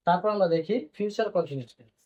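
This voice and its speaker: A man's voice: sits high, rapid-fire, a little mellow. A man teaching a grammar lesson, repeating points and answering his own questions as he explains. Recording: dull, lo-fi band-limited sound.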